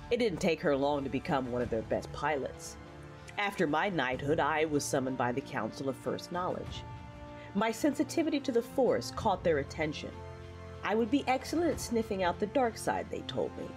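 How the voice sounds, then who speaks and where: A woman's voice: deep voice, big pitch swings, moderate pace, music in the background. A woman playing a reflective, war-weary young female knight, narrating her memories earnestly in an audio drama. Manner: earnest, reminiscing.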